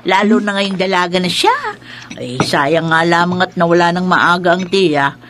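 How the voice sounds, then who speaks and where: An actress playing a feisty, doting elderly grandmother in a radio drama, teasing and bossy in a lively way. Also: lowish voice, normal speed, noisy background, lightly acted. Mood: reminiscing. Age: older. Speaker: grandmother character.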